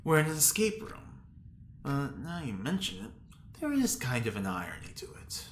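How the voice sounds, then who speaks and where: Very deep-voiced, teasing smug prisoner. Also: female voice, big pitch swings, normal speed. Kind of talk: audio drama acting. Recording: roomy echo, faint noise.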